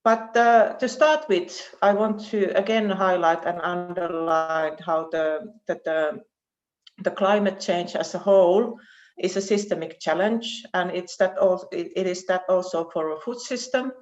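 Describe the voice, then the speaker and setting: A woman with a Finnish accent giving an academic presentation as a research professor over an online call, speaking haltingly with repeated words. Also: fairly low pitch, a little mellow. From Finland. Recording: dull, muffled online call audio.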